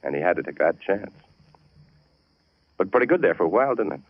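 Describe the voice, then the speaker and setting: An actor playing a cold, cynical, scheming young husband in 1940s radio drama style. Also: male voice, low-pitched, sing-song, a little fast, dry recording, full character voice. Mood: matter-of-fact confession. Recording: tinny, band-limited old radio recording.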